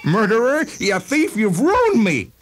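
An actor playing an irate, aggrieved shopkeeper patient in a radio drama, whiny and complaining. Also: male voice, high-pitched, huge pitch swings, a little slow, soft background music, full character voice. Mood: enraged. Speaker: actor voicing a character.

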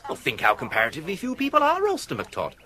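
Suave English gentleman, swooping pitch. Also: huge pitch swings, fast talker, soft background music, exaggerated voice. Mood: ardent, florid. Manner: florid, mock-formal, suave. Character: urbane, unflappable young gentleman impostor courting a lady. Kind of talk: radio comedy drama.

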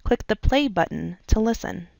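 A woman reading scripted instructional narration as a tutorial narrator, in a measured, step-by-step way. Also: mid-range voice, expressive, warm tone, no echo. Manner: clear, measured step-by-step delivery.

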